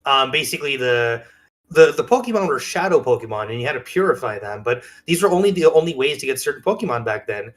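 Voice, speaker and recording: A man's voice: mid-range voice, animated, quick pace, a bit bright. A man chatting casually as a podcast co-host, telling things in an enthusiastic, rambling way. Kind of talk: casual chat.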